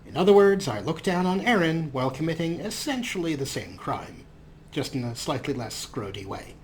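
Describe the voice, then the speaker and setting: A man's voice: a little high, sing-song, normal speed, a little hiss or hum. A man hosting a let's play, giving sarcastic, playful commentary and role-playing his character. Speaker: host.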